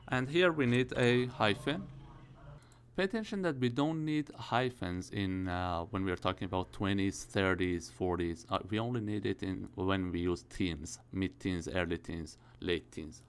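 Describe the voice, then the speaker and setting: A man's voice: fairly low pitch, smooth. A man in his early thirties teaching English grammar for an exam, explaining step by step and often checking with "all right?" and "okay?". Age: 30s. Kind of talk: IELTS grammar lesson.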